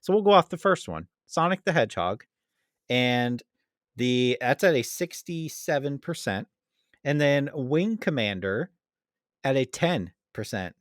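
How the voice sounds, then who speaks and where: A man's voice: mid-range voice, very animated, measured pace, no echo, studio quality. A man hosting a casual podcast debate in an easygoing, agreeable, chatty way. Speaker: podcast host. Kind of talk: casual chat, moderating a debate.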